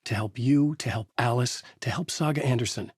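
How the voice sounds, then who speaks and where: An actor playing a troubled novelist in a horror video game, narrating his dark story in a husky, grave, deliberate noir style. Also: medium pitch, no echo, clean studio sound, natural voice. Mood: grave, brooding.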